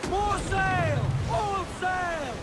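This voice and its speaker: An actor playing a commanding pirate in a video game, bellowing drawn-out sailing orders. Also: male voice, very high voice, very noisy, heavily acted. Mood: urgent, commanding.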